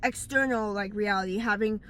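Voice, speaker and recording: A woman's voice: mid-range voice, normal speed, a bit bright. A woman giving blunt life advice in a casual, rambling monologue, recorded outdoors on a phone, often stopping to search for words. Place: outdoors in bright daylight.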